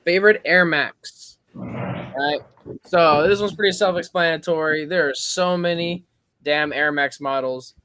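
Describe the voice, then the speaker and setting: A man's voice: a little high, measured pace, sharp tone, clean tone, dry recording. A man hosting a livestream over a video call, announcing the next round. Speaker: livestream host.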